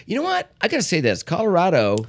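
A man's voice: high voice, wildly swooping pitch, fast talker. A middle-aged man co-hosting a casual beer-tasting podcast, rambling and crude as he jokes with his partner. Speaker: podcast co-host.

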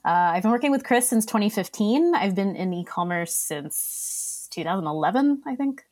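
A woman's voice: medium pitch, moderate pace. Woman with a Boston-tinged Australian accent. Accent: Australian mixed with Boston. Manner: relaxed, light laughs, filler-heavy. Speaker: compliance specialist, guest. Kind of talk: casual interview chat.

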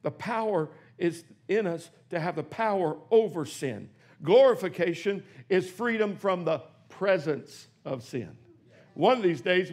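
A man in his sixties preaching a sermon in a church, swinging from hushed, quick asides to shouted exclamations. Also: sits high. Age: 60s. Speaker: pastor. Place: church sanctuary.

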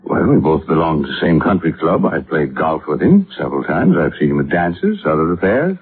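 A reflective American physician in an old radio drama. His low, husky voice swings widely in pitch as he weighs his words. The recording is muffled and narrow, like vintage radio. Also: big pitch swings, exaggerated voice. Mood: considering. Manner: deliberate, reflective, hesitant asides. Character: thoughtful, educated male physician, measured and reflective. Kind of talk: 1950s radio drama acting.

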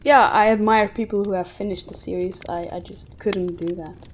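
Lady cohost just chatting on a podcast, normal pitch, bit of background noise. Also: fairly even intonation, moderate pace. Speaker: podcast co-host. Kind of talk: casual conversational discussion.

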